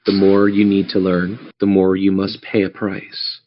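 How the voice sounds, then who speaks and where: A man running a tabletop game as game master, narrating in a theatrical, measured storytelling manner. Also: low-pitched, completely flat delivery, a little hiss or hum. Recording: dull lo-fi audio.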